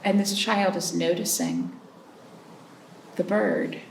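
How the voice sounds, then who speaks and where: Female storytime host, lively intonation, some vocal fry, question-and-pause delivery for little ones; distant mic with faint noise. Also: on the low side, roomy sound. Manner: prompting listeners with questions and pauses; expressive, drawn-out reading of story phrases. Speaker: children's librarian hosting storytime. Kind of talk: read-aloud storytime for young children.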